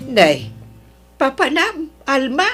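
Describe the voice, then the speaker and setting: An Ilocano woman acting a patient older mother who soothes her grown daughter in an audio drama, her voice husky. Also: high-pitched, huge pitch swings, slow, over music, exaggerated voice. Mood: concerned.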